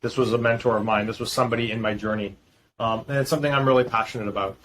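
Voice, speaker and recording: A middle-aged man chats as a podcast guest, friendly and talkative, often stopping to restart his thoughts with an um. His voice sits at an ordinary pitch. A faint hiss runs behind him on a remote call. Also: steady tone, very fast, a bit bright, low-quality recording. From Boston area, US. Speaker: podcast guest, fintech founder. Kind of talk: casual interview chat.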